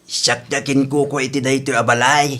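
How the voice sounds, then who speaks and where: A man playing a worried, thrifty old father in a radio drama, questioning and gently reproving with an Ilocano accent. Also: medium pitch, level tone, normal speed, close to an everyday voice. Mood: surprised, welcoming. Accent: Filipino (Ilocano). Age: older.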